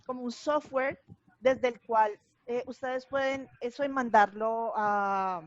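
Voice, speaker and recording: Woman, Colombian accent, high pitch, informal explaining, lo-fi online meeting sound. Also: slow pace, no echo. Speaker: panel participant in an arts collective discussion. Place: online video call. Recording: dull, muffled video-call audio.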